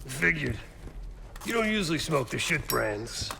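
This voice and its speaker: An actor voicing an irreverent male operative in a video game, casual and needling, with a creaky voice. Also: a little high, big pitch swings, a little slow, background noise, exaggerated voice. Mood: wry, teasing. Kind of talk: cinematic video game acting.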